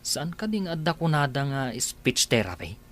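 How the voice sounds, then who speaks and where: A man's voice: on the high side, big pitch swings, moderate pace. A man playing a loyal, deferential farmhand who speaks earnestly to his boss, in a radio drama. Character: loyal farmhand, uncle figure to the boy.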